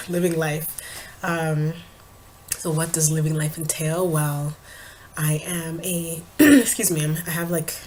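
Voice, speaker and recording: A young woman vlogger and poet chatting casually to her camera at home, her voice a little raspy from a cold, with frequent ums and small laughs. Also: deep voice, steady tone, slow, a little hiss or hum. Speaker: vlogger and spoken word poet.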